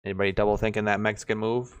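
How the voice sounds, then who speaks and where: Clear-voiced man in his twenties, casual banter. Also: lowish voice, level tone, rapid-fire. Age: 20s. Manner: teasing, informal. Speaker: show host.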